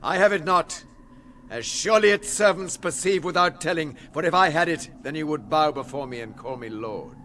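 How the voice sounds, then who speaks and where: An actor playing a cunning, haughty wizard in a radio fantasy drama, with grandiose, silky, theatrical delivery. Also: male voice, on the high side, moderate pace, pushed and bright, slight background noise. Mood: sly, haughty.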